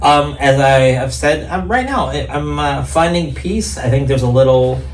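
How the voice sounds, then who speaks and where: A man's voice: medium pitch, animated, moderate pace. A man co-hosting a casual podcast, easygoing and teasing, with many fillers. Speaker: podcast co-host, counselor. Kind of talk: casual chat. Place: studio office. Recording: echoey room, mic at a distance, faint noise.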